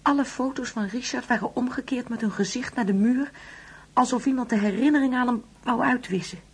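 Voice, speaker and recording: An actress playing a wistful, uneasy older mother in a Dutch radio play, speaking hesitantly and brooding, at times hushed. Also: a little high, a little fast, husky. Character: wistful, uneasy ageing mother grieving an absent son.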